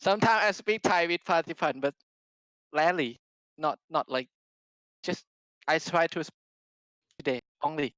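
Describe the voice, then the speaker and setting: A man, a non-native English speaker, chatting casually as an esports player guest in simple, halting phrases. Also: on the high side, lively intonation, a bit bright, no echo, rough recording. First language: not English. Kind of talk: casual chat.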